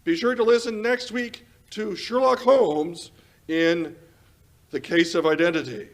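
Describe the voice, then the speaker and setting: A man's voice: high voice, fairly even intonation, unhurried. A man playing a genial, formal 1940s radio announcer with a polished scripted delivery. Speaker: announcer and host. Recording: music under the voice.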